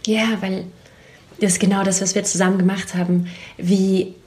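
A woman's voice: mid-range voice, level tone, moderate pace, a little mellow. Encouraging woman interviewer, casual and affirming.

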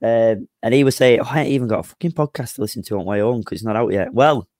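A man with a slightly croaky voice, co-hosting a casual football podcast with brisk banter. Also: medium pitch, a little mellow, dry recording, studio quality. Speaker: podcast co-host.

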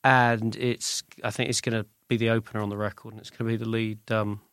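A man's voice: fairly low pitch, little pitch movement, fast, a bit bright, smooth, dry recording, clean studio sound. A British man talking casually as a musician guest in an interview, rambling and correcting himself as he goes. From Britain. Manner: rambling, self-correcting, informal. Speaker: musician guest, singer-songwriter.

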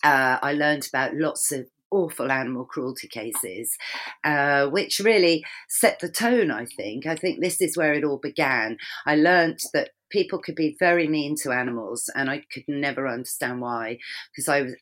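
A woman's voice: a bass voice, a bit bright. A woman who grew up bilingual in Swedish and English, chatting as an interview guest with frequent ums. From England. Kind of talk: conversational interview.

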